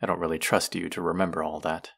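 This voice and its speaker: Man acting a smug, deep, gravelly college guy. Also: little pitch movement, quick pace, a bit bright, exaggerated voice. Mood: bossy. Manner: condescending, sardonic, drawling. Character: smug, condescending male college student, crush's rude twin. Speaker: audio roleplay voice actor. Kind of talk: scripted roleplay addressed to the listener.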